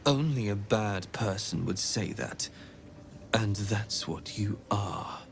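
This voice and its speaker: An actor voicing a menacing young alien boy in a video game, zealous and wounded, speaking in a cold, moralizing, deliberate way. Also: on the low side, gravelly, a little hiss or hum. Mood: accusing, menacing.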